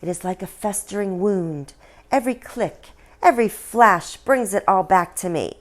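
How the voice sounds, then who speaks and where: Lady doing a gossipy princess ghost, sly n arch, storybook spoof, really lively pitch. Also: moderate pace, close to an everyday voice. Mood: mocking. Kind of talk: storybook dialogue reading.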